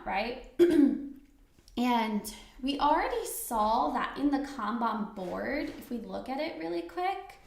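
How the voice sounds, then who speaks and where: A woman giving a live webinar demo of software, chatty and checking in with her viewers. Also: high voice, vocal fry. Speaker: software trainer. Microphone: computer mic on a video call. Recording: echoey room.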